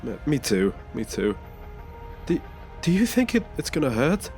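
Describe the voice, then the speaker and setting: A man's voice: mid-range voice, wildly swooping pitch, normal speed, a little mellow, faint music behind. A man playing a nervous, earnest passenger in an audio drama, speaking hesitantly with small stammers. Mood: anxious. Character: nervous ageing male passenger seeking a new body. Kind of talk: naturalistic audio drama acting.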